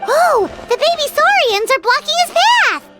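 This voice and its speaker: Tiny, extremely high-pitched childlike companion. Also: female voice, sing-song, a little slow, loud music behind the voice, heavily acted. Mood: excited. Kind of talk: video game character acting.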